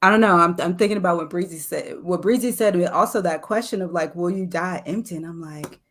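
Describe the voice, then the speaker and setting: A woman with a slightly low voice. She talks as an interview guest in an unscripted way, feeling her way reflectively through each idea with tentative phrasing. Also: brisk, a bit bright. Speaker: poet and professor, guest. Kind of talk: casual, unscripted interview answer.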